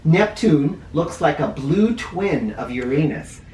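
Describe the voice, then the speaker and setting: A man's voice: fairly high pitch, very animated, slow pace, a little mellow. Echoey male storyteller reading to children. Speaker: host reading a children's picture book aloud. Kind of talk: read-aloud storytelling with teaching asides. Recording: roomy echo, distant mic, faint noise.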